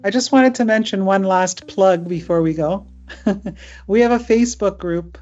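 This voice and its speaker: A woman's voice: mid-range voice, unhurried, darkish tone. A middle-aged woman hosting a webinar as an immigration lawyer, chatting casually with many fillers. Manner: chatty, with frequent fillers and laughs. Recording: muffled lo-fi video call audio.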